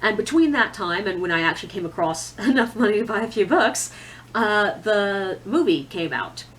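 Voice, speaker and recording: A woman's voice: mid-range voice, expressive, moderate pace, poor audio. Chatty, opinionated woman talking books. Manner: conversational, opinionated, self-deprecating asides. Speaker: book reviewer. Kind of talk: casual chatty video monologue.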